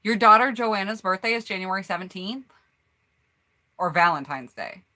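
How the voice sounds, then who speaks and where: A woman's voice: mid-range voice, expressive, normal speed, pushed and bright. An American woman chatting casually on a home mic, reading out viewers' answers in an upbeat, rambling way. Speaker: YouTuber hosting a collab tag.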